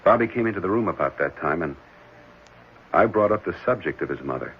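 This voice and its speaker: An actor playing a gentle, earnest married foster father in a 1970s radio drama, speaking hesitantly and reassuringly. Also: low voice, animated, a little fast. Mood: pensive. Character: gentle, caring married man fostering an orphan boy. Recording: muffled, noisy old radio broadcast.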